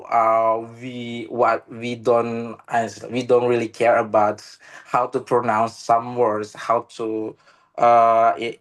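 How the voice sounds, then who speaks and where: Indonesian guy on a video call, talks real slow, lots of uh and restarts, mid pitch. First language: Indonesian local language. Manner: halting, many "uh" pauses and restarts. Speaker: meeting participant. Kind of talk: casual online discussion.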